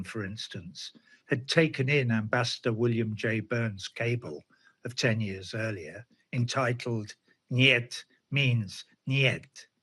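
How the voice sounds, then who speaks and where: A man's voice: mid-range voice, fairly bright tone, slightly rough. A man delivering a spoken essay monologue in a sardonic tone, often asking himself rhetorical questions. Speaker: commentator.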